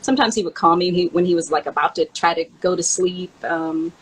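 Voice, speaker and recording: A woman co-host reminiscing in casual chat, in an informal, halting manner. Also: on the low side, breathy edge, rough recording. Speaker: co-host, memoir author.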